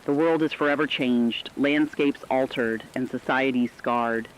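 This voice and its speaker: A man playing an earnest radio news co-anchor, giving grave, measured scripted reports on a disaster. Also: medium pitch, steady tone, natural voice. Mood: earnest hope. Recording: dull lo-fi sound with faint noise.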